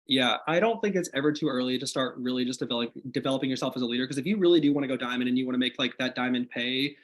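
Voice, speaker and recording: A man sharing business tips on a video call, talking in an energetic, motivational way with lots of "like" fillers, his voice slightly hoarse after being sick. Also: medium pitch, very fast. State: slightly hoarse, recovering from illness. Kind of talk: casual video call chat. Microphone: video call microphone.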